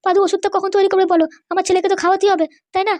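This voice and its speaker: An anxious older cartoon parent played by a woman pleads in an extremely high, squeaky voice. The words rush out insistently on a flat, unvarying note, plaintive and always pressing for agreement. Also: rapid-fire. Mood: pleading. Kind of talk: amateur cartoon dialogue.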